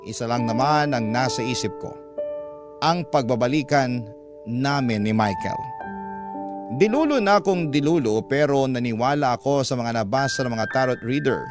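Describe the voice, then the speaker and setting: A man reading as a lovelorn young letter writer, confiding hopefully in first-person radio narration, in a measured way. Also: medium pitch, over music, natural voice. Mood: wistful, hopeful.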